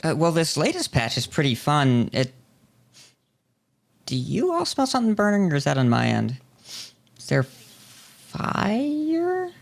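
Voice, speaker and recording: A man's voice: a little high, huge pitch swings, measured pace, creaky, long pauses. A man hosting a podcast, talking conversationally in wordy, thought-out phrases. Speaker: podcast host. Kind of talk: conversational interview.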